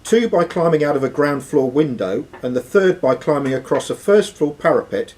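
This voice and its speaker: A man's voice: fairly high pitch, animated, moderate pace, darkish tone. A man hosting a live quiz in a club room, reading the questions aloud and ruling on answers. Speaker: quiz host and question reader. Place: sports and social club room.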